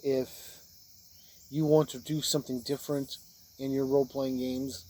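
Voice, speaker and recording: Male reviewer, mid-pitched, plain-spoken with halting short phrases, informal game-book review, audible cricket-like background noise. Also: level tone, dark tone. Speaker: reviewer of tabletop role-playing books, game master.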